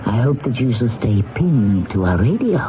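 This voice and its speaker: A man narrates as host of an old-time radio drama. He is deliberate and theatrical, with a fairly high voice that swings widely in pitch. Music plays behind a thin, narrow broadcast sound. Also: sing-song.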